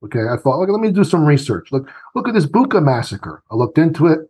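A man's voice: fairly high pitch, big pitch swings, fast talker, darkish tone, breathy edge. A man giving his opinions as an interview guest, emphatic and argumentative, often acting out quoted voices. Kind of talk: opinionated political commentary.

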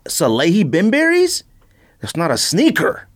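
A man speaks as a podcast co-host in casual conversation. His pitch swings widely in a lively way, and his talk is slangy, emphatic and full of blunt opinion. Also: measured pace.

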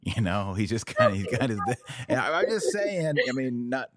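A man's voice: mid-range voice, very animated, moderate pace, no echo, studio quality. A middle-aged man hosting a podcast, chatting casually and informally in a folksy way. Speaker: podcast host. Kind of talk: casual interview talk.

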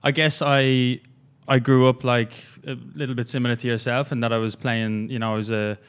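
A young man with an Irish accent, a gym coach, telling his story in a halting, rambling way with many fillers in a casual interview. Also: mid-range voice, little pitch movement, clear, resonant tone. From Ireland.

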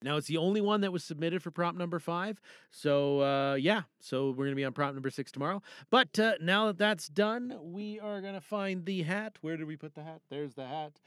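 A man's voice: fairly high pitch, brisk, a bit bright, clear, resonant tone, no echo, studio quality. A man hosting a podcast from a booth in a showy announcer-like way, chatting casually and rambling to himself. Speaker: host. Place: recording booth.

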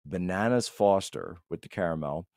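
Low-pitched man, emphatic political talk. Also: normal speed, a little mellow, dry recording, clean studio sound. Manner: emphatic, repeats phrases for effect. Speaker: podcast guest and political commentator. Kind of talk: conversational political commentary.

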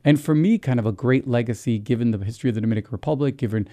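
A man's voice: medium pitch, rapid-fire, mellow. A man from New Jersey, an author answering interview questions in a reflective, casual way, mixing slang with literary phrasing. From New Jersey, USA. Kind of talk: relaxed conversational interview.

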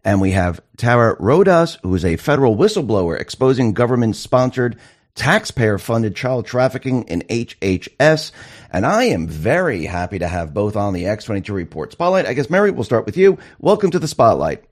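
A man's voice: mid-range voice, big pitch swings, normal speed. A man hosting an interview show, welcoming guests in an upbeat, emphatic way. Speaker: show host.

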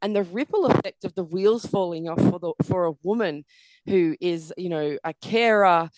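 A middle-aged woman, a podcast guest, speaking earnestly with a croaky, tired voice and lots of "you know" fillers. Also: fairly low pitch, normal speed, background noise. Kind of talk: reflective interview conversation.

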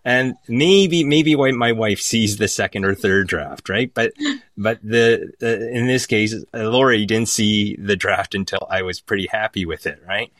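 A man with a low voice talks casually as a guest writer on a panel. He is emphatic and repeats phrases to make his point. The sound is very dry, with little room in it. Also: a little slow, a bit bright, dry recording. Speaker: playwright, podcast guest.

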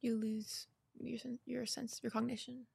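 A woman's voice: fairly high pitch, level tone, unhurried, vocal fry, darkish tone, breathy edge. A young American woman co-hosting a podcast, casually asking questions in an inquisitive, slightly hesitant way. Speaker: podcast co-host. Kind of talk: casual question and answer chat.

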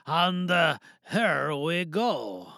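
High-voiced man hosting, playful and creaky. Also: sing-song, very slow, bright, forceful tone, no echo, clean studio sound. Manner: playful showman patter, thinking aloud. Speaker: host and monologue performer. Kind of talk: casual podcast presenting.

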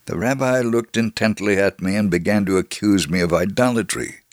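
Man, lowish voice, earnest measured radio reading of a testimony, dry close sound. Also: no echo. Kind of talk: reading a first-person testimony aloud.

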